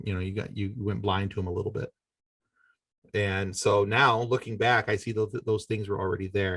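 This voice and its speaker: Middle-aged man, low voice, dry sound. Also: dry recording. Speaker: podcast guest. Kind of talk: casual conversational interview.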